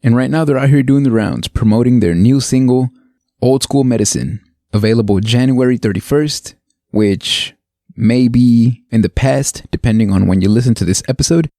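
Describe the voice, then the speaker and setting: A man's voice: medium pitch, normal speed, warm tone, no echo, studio quality. A man hosting a podcast interview in a laid-back, chatty way. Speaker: podcast host.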